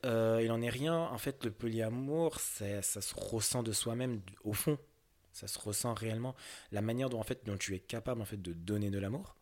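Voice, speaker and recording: A young man from France speaks in a low, unhurried voice, answering questions in an interview. He hesitates often, stacking fillers and restarting his sentences as he gropes for the right words.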